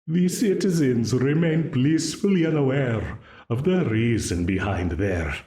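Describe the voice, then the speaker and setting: A man's voice: medium pitch, animated, a little slow, mellow, echoey room, close to an everyday voice. A man voicing a smug, menacing male demon who offers a deal, mocking and condescending, laughing often, in an audio roleplay. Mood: gloating.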